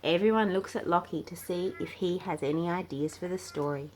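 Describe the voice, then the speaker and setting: A woman reading a children's storybook aloud in an animated, expressive and playful way. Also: fairly low pitch, fairly quick, a little hiss or hum. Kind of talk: read-aloud children's storybook narration with acted dialogue.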